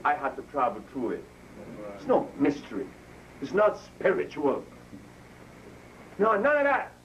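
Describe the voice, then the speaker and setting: A man's voice: on the high side, wildly swooping pitch, unhurried. Older man lecturing with wide swings. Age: 70s. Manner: preacher-like, call and response with listeners. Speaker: speaker addressing an audience. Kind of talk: impassioned lecture. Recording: distant mic, faint room noise.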